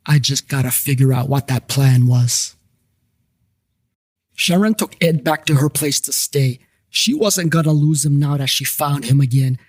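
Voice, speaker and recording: A man's voice: medium pitch, a little mellow, dry recording, clean studio sound. A man narrating a scripted first-person story as the narrator of a fiction podcast.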